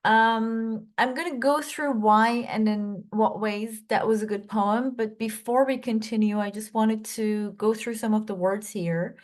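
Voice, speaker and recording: A woman's voice: on the high side, fairly even intonation, measured pace, hardly any pauses. A woman casually explaining a poem she loves, hesitant and earnest, with frequent filler sounds and restarts. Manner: earnest, personal enthusiasm. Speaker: poetry video host.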